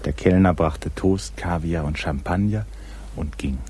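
An actor playing the narrator of a 1950s German literary radio drama, telling it in a measured, intimate way. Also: male voice, deep voice, a little hiss or hum. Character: male storyteller, measured, intimate observer of the lovers. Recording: 1950s radio production, loud music underneath. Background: music.

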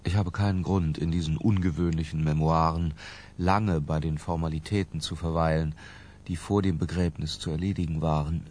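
An actor playing a cultured middle-aged man narrating his memoir in a radio drama, measured, brooding and detached. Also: low-pitched, darkish tone, a little hiss or hum, lightly acted. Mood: detached, sombre. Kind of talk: literary first-person radio drama narration.

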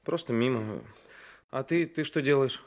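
An actor playing a shy, awkward but proud seventeen-year-old youth in a Soviet radio drama, speaking tersely and hesitantly. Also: male voice, mid-range voice, a little slow, natural voice. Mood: awkward, guarded.

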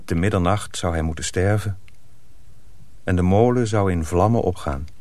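Low-voiced male storyteller, close and dry. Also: moderate pace, a little mellow, no room sound. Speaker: narrator. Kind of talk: dramatic scripted storytelling.

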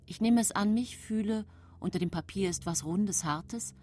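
A woman's voice: medium pitch, normal speed, darkish tone, a little hiss or hum, close to an everyday voice. An actress playing a composed, calculating woman in her thirties who narrates her own story in a radio drama, controlled and matter-of-fact. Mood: composed, confiding. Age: 30s.

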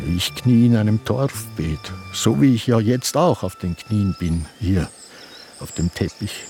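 An actor with a Viennese accent playing an elderly man in a sanatorium who slowly broods over his memories, halting and confessional. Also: lowish voice, creaky, darkish tone, full character voice. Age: older. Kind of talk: radio play acting with inner monologue.

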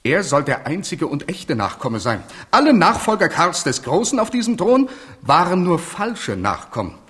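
High-strung, volatile monarch, highish voice, animated swoops, theatrical radio drama. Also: male voice, moderate pace. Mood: indignant, wounded. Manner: volatile, swinging from outbursts to plaintive murmurs. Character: capricious, wounded, high-strung French king. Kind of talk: German radio play acting, theatrical.

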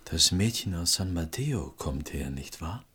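An actor playing an earnest, persistent village potter, pleading and insistent, in a German radio play with background noise. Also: male voice, sits low, expressive, measured pace, sharp tone, husky, no room sound, exaggerated voice. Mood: hushed.

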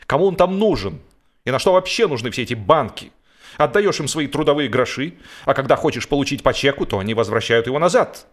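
A man's voice: medium pitch, lightly acted. A man voicing an indignant holiday boater in a humorous audiobook, ranting in exasperated rhetorical questions. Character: indignant, grumbling, blustering male holiday boater.

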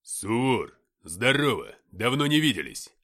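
A man's voice: medium pitch, very animated, a little slow, a bit bright, heavily acted. A man voicing a brusque army comrade who talks urgently and bluntly in an audiobook war story. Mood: hearty greeting. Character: brusque army comrade reporting over radio under pressure.

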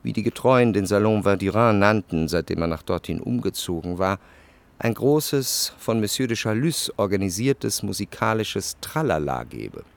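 An actor playing a refined first-person narrator in a radio drama, speaking in a measured, precise, reflective literary manner. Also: male voice, on the low side, animated, gravelly. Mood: detached, wry. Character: reflective, refined male narrator recalling Parisian salon society. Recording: faint music behind the voice.

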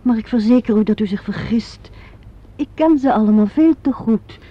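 A proud, loyal stage actress played by a woman in a vintage radio play. Her voice is fairly high and husky, with emphatic, theatrical phrasing. The sound is dull and lo-fi. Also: moderate pace, natural voice. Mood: defensive, firm. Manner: emphatic, dramatic denials. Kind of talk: Dutch radio play acting. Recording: dull, lo-fi old radio recording.

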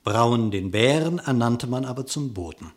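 A man's voice: mid-range voice, animated, moderate pace. A man narrating a verse animal fable in a radio play, with declamatory, theatrical storytelling.

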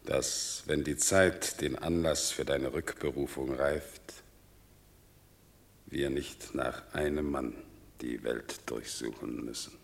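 An actor playing a loyal, grave older Roman friend who speaks measured lines. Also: male voice, extremely low pitch, fairly even intonation, creaky, exaggerated voice.

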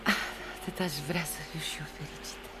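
A woman's voice: deep voice, steady tone, background noise, natural voice. An actress in a radio play voicing a melancholy, romantic woman with a wistful, poetic way of speaking. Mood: unhappy, restless. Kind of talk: Romanian radio theatre acting.

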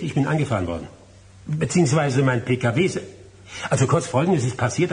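Brooding, agitated man, widely swinging pitch. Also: breathy edge, exaggerated voice. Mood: indignant. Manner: muttering to himself, swinging into irritated outbursts. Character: brooding, agitated male lawyer, haunted by memories. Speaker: actor in a radio play. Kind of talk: radio drama monologue, murmured soliloquy and outbursts.

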